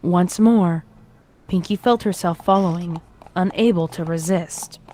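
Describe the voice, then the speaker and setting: A woman's voice: lowish voice, unhurried, dark tone, a little hiss or hum. A woman reading a horror story as its narrator, in a dramatic scripted style. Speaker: fan fiction narrator. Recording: music behind the reading.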